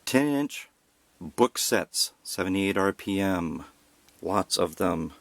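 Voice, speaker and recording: A man with a fairly low voice narrates a casual home tour. He presents as a collector showing things off, dropping wry, self-deprecating remarks as he goes. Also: expressive, slow, vocal fry, dry recording. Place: indoors at home.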